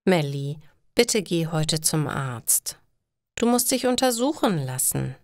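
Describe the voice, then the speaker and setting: A woman voicing a caring, practical mother of a teenage girl in a learner's story, gently reassuring and explanatory. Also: low voice, sing-song, takes it slowly, dry recording, studio quality, full character voice. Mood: worried. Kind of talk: acted dialogue in a learner's story.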